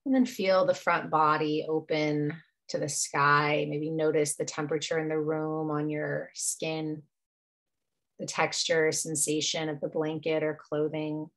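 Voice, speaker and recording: A woman guiding a meditation as a yoga nidra teacher, soothing and unhurried, leaving long pauses between phrases. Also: deep voice, flat delivery, fairly bright tone. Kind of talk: guided meditation.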